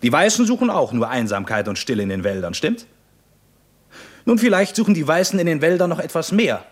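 An actor playing a stern, menacing revolutionary military commander in a 1950s German radio play, speaking in a measured, sardonic, interrogating manner. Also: male voice, fairly high pitch, big pitch swings. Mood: sardonic, accusing.

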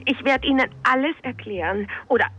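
An actress playing a secretive, nervous female boss through a telephone filter in a radio drama, urgent and halting. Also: high-pitched, fairly bright tone. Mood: urgent, evasive. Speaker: supporting character. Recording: dull phone line, faint music.

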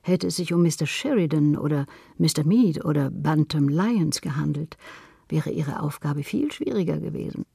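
Woman, husky, gravelly creak, literary narration, very dry sound. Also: deep voice, lively intonation, moderate pace, dark tone, dry recording.

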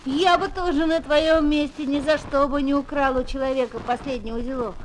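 A piping, very high schoolboy acted by a woman speaks with spirited, childlike expression, as in a 1970s Soviet children's radio play. The old disc adds faint noise. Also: steady tone, moderate pace, close to an everyday voice. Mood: earnest. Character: spirited young schoolboy, narrating friend of Tolka. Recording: noisy old 1970s flexi-disc record.

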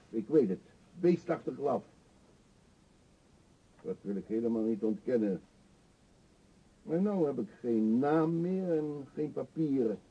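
An actor in a radio play voicing a middle-aged male vagrant, self-pitying and confessional, with a husky, halting, theatrical delivery. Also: medium pitch, exaggerated voice. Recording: dull lo-fi radio play recording.

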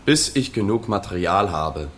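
A man's voice: low voice, expressive, normal speed, a bit bright, a little hiss or hum. An actor playing a subdued, awkward male journalist who narrates a radio play in an understated, detached way. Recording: music behind the voice.

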